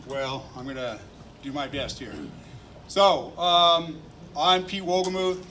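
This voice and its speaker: A man's voice: fairly high pitch, a bit bright. A man making announcements at a neighborhood forum, talking plainly and steadily with some fillers, in a community meeting room. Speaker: neighborhood liaison introducing a candidate forum. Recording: distant room recording, faint noise.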